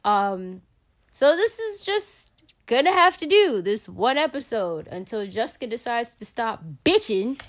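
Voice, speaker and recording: A woman's voice: on the high side, very animated, measured pace. Blunt, teasing woman podcast host. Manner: blunt, teasing, laughs often. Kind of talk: casual profane family chat. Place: Florida home.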